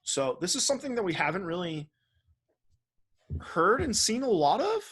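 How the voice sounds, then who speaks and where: A man's voice: sits high, sing-song, moderate pace, fairly bright tone, slightly rough. Man hosting a casual gaming podcast. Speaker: podcast host. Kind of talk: casual video game podcast commentary.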